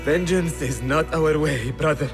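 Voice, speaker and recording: An actor playing a mortally wounded warrior in a video game, halting and solemn, loyal and resigned to his fate. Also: on the high side, over music. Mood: solemn, pleading. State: weak, bleeding to death. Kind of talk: dramatic video game acting.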